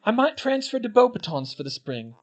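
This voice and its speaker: A man voicing an earnest, anxious teenage schoolgirl witch in a high, pleading voice. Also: sing-song, a little fast. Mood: frightened, pleading. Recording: dull lo-fi recording.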